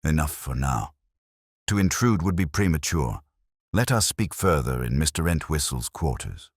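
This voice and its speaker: A man voicing a calm, curt gentleman detective with a smooth, formal manner in an audiobook story. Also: very deep voice, normal speed, no echo, clean studio sound, full character voice. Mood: grave, measured.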